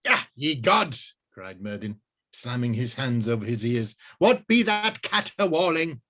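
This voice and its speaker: A man voicing a bewildered male wizard from the Dark Ages, with archaic, dramatic, easily alarmed speech in a storybook reading. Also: medium pitch, very animated, measured pace.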